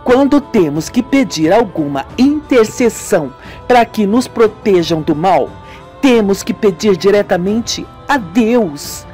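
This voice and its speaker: A woman's voice: mid-range voice, animated, slow, mellow, soft background music, voice pushed up. A woman playing an earnest, devout young sister in a radio soap opera, speaking in an emphatic, declamatory way. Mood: earnest, preaching.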